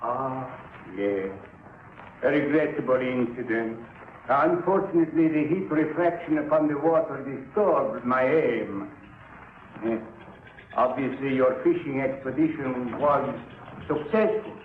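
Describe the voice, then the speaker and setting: Oily smuggler who stammers and flatters, played by a man; tinny, echoey old radio. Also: medium pitch, unhurried, a little hiss or hum, close to an everyday voice. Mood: regretful. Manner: unctuous, overly polite, stammering. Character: oily, fawning middle-aged male smuggler and gambler. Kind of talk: 1950s radio drama acting.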